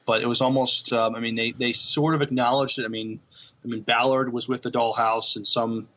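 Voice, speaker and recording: A man with a medium-pitched voice speaks as a podcast co-host. He offers casual reviews of shows, picking his words hesitantly and keeping his criticism measured. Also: little pitch movement, a bit bright.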